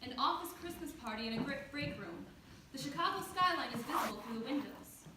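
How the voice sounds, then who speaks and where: A woman reads scripted stage directions in a high voice. She sounds set back from the mic in a very echoey room, with clear background noise. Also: lively intonation, a little fast, recorded from a distance. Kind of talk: scripted narration.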